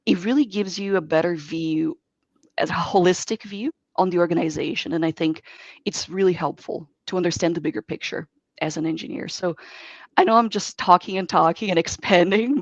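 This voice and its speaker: A woman from Brazil, a native Portuguese speaker, speaking as a software engineer guest in an online interview and giving earnest, expansive advice. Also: fairly low pitch, dry recording. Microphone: video call. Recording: dull dry video call audio.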